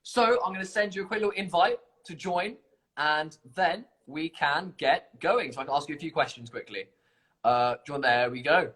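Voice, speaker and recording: A man's voice: on the high side, normal speed, fairly bright tone, rough recording. A man hosting an informal live competition stream, chatty and self-deprecating, bumbling along as he presents. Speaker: competition livestream host.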